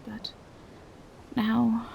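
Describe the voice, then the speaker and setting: Gentle vampire woman, performed by an actress, intimate roleplay audio, breathy and hesitant, unhurried delivery, faint noise behind. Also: a little high, completely flat delivery, heavily acted. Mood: tender, wistful. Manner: soft, hesitant, breathy half-whispers. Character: gentle, bashful female vampire in monstrous true form, lovestruck.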